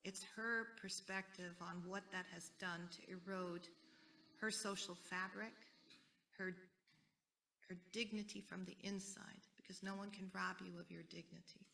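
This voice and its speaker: An American woman speaking as a panelist at a conference, earnest and deliberate, repeating key phrases for weight. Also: mid-range voice, fairly even intonation, vocal fry, pushed and bright, far from the mic, slight background noise. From United States. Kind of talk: panel talk.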